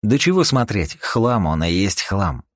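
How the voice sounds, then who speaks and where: Casting: man acting a shrewd, stubborn audiobook boss, persuasive and slangy, raised pitch with lively swings. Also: measured pace, no room sound, studio quality. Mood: insistent. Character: shrewd male boss haggling briskly, stubborn and practical.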